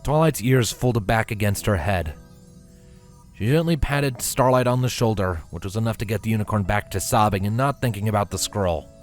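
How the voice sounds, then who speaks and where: A man's voice: on the low side, moderate pace, faint music behind. A man narrating a scripted story as a reader. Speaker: fan fiction reader.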